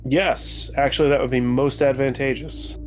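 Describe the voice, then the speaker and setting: A man's voice: medium pitch, steady tone, normal speed, slight background noise. A man chatting casually as a player at a tabletop roleplaying game. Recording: music bed behind the voice.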